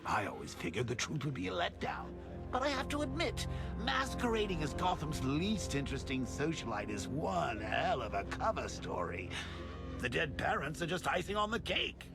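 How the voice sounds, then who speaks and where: A masked villain who taunts his enemy with a gravelly sneer, his pitch rising and falling with contempt. Music plays behind him in this game cutscene. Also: huge pitch swings, moderate pace, heavily acted. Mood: taunting. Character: menacing masked male soldier villain, taunting. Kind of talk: video game voice acting.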